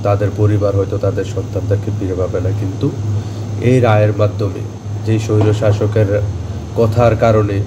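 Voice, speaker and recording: A man with a Bangladeshi accent giving an earnest political statement in deliberate, halting phrases. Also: on the low side, level tone, background noise.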